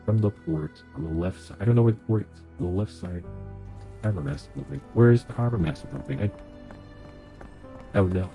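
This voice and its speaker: A young man with a cold narrates his live game session, talking aloud to himself as he hunts for clues. His voice sits low and dark and sounds stuffy through the nose. Faint game music hums in the background. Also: lively intonation, fairly quick, gravelly, pauses often. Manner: chatty, thinks aloud while playing. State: sick and nasal from a cold. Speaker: streamer. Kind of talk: live game playthrough commentary.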